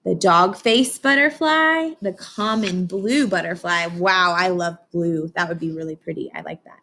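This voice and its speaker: A woman reading a children's book aloud like a teacher, expressive and instructive. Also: medium pitch, slight background noise. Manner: expressive, instructive, with dramatic pauses and hushed asides. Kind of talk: children's read-aloud with explanations and questions to listeners.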